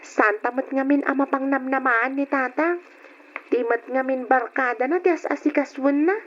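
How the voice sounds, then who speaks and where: High-voiced, plaintive young daughter. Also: female voice, normal speed, fairly bright tone, a little hiss or hum. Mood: wistful. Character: young daughter, earnest little sister missing her mother. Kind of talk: radio drama acting. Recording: dull lo-fi radio drama recording.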